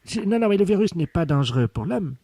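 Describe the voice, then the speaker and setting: A man playing a pompous scientist in a deadpan prank call, speaking solemnly and pedantically with deliberate articulation. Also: high-pitched, husky, dry recording, full character voice. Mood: solemn, instructive. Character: pompous male scientist investigating a virus outbreak for radio. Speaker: prank caller. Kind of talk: deadpan prank phone call with hushed radio commentary.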